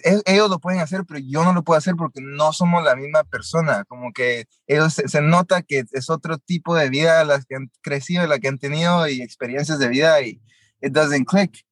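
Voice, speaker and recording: A man's voice: fairly high pitch, brisk, dry recording. Young man, bilingual storyteller. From Guatemala. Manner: switches between Spanish and English mid-sentence, many fillers. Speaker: actor, interview guest. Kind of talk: casual interview storytelling.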